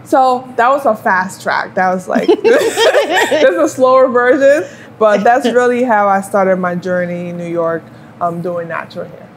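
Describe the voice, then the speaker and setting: A woman's voice: medium pitch, lively intonation, a little slow, slight background noise. Liberian-raised woman hairstylist chatting. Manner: warm storytelling with fillers and rhetorical "you know". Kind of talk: casual chat while styling hair.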